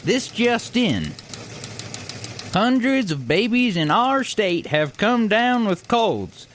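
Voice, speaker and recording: Casting: male actor as flustered substitute newsman, very high voice, folksy and bumbling, mock newscast. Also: sing-song, slow pace, a bit bright, slight background noise, heavily acted. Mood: earnest newsreading. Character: bumbling out-of-state stand-in male TV news anchor. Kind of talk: mock newscast comedy acting.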